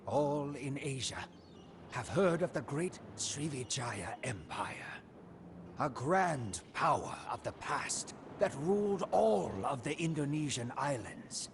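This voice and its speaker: An actor playing a proud, devoted commander of a royal guard, narrating a game's storybook in a solemn, deliberate manner. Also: male voice, mid-range voice, big pitch swings, noisy background. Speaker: narrator of a game campaign story. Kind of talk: scripted storybook narration in character. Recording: music behind the voice.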